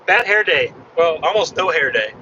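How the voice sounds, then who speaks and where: A man slightly hoarse from losing his voice, chatting in a rambling way while driving. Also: a little high, lively intonation, moderate pace, sharp tone. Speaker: ham radio vlogger. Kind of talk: casual chat while driving. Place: inside a car on a freeway. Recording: dull muffled car recording with road noise. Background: road and engine noise.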